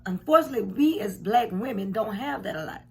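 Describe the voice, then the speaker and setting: A woman in her forties, a bit hoarse, giving frank, emphatic commentary from her home, repeating points for weight. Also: medium pitch, expressive, fast, a little mellow. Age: 40s. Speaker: commentary vlogger. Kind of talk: casual reaction commentary. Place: home room indoors. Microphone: camera at desk distance.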